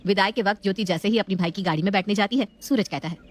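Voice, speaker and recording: A woman narrates a scripted domestic story at a quick clip. Her voice sits at an ordinary middle pitch for a woman as she tells the tale.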